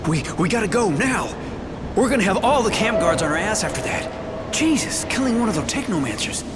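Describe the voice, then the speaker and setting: A man's voice: high voice, fairly quick, heavy background noise. An actor playing an anxious, eager young man on the run in a video game. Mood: alarmed. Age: young adult. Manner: excitable, questioning. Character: eager, anxious young male escapee, loyal kid. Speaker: narrator and companion character. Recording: loud game music underneath. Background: loud music.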